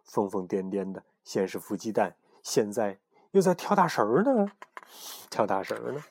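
A man voicing an excitable male bird friend who exclaims in a fussy way. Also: fairly low pitch, moderate pace, breathy edge, dry recording. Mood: alarmed.

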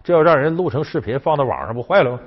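A man's voice: a little high, animated, racing, slightly rough, long reverb tail, lo-fi sound. A man voicing a blustering emperor with a brusque, commanding, folksy manner in a comic history tale. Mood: amused scolding. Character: blustering Han emperor, startled yet amused male ruler.

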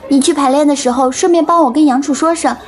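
A woman's voice: high-pitched, fast, lightly acted. A woman acting an overworked young waitress and caring older sister in a radio drama. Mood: polite, hurried.

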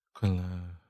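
A man's voice: low voice, warm tone, slight background noise. A man playing an easygoing, teasing fellow at a magical inn in an audio drama. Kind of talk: Chinese audio drama acting.

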